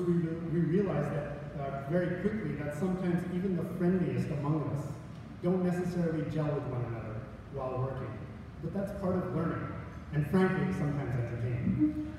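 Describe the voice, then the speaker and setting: A man's voice: a little high, noisy background. A man giving a valedictorian speech at a graduation, earnest with humorous asides. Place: large hall. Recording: echoey hall from far off.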